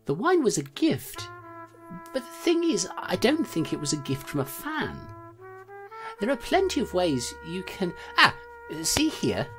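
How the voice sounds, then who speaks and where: A woman's voice: on the low side, wildly swooping pitch, measured pace, music in the background. A woman playing a determined constable who talks bluntly and reasons things out aloud.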